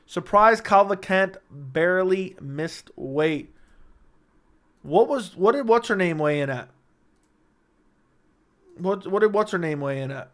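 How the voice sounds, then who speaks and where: A man hosting a casual livestream, reading chat questions aloud and answering them in a joking way, his voice slightly raspy and worn. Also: sits high, animated, slow pace, long pauses, fairly bright tone.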